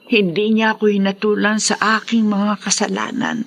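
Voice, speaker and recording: A woman acting as a repentant, grateful older mother in a radio drama, with a tender, heartfelt and deliberate delivery. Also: mid-range voice, level tone, faint music behind, natural voice. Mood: tearful gratitude.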